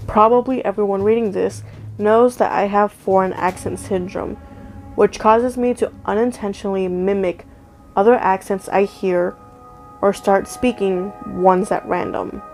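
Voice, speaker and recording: A woman's voice: medium pitch, fairly even intonation, unhurried, darkish tone, faint music behind. A woman reading a first-person story aloud in a casual, conversational way. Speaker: story narrator.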